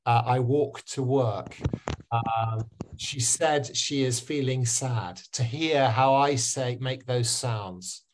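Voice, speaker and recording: A man's voice: mid-range voice, slow. A man teaching pronunciation on a video call, full of fillers and restarts. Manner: hesitant, many fillers and restarts. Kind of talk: online class.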